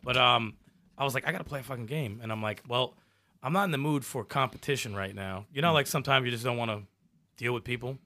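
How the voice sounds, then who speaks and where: A man's voice: mid-range voice, fast, dry recording. A man co-hosting a casual gaming podcast, telling stories in slangy, profane talk. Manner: profane, animated storytelling with slang. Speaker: podcast co-host.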